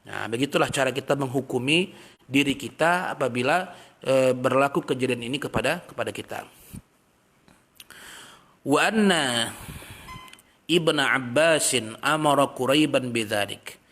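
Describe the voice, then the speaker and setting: A man teaching a religious study lesson, reading passages in Arabic and then explaining them in a relaxed, chatty way with everyday examples. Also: medium pitch, a little slow, pauses often. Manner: reads Arabic text, then explains in plain talk. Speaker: Islamic teacher.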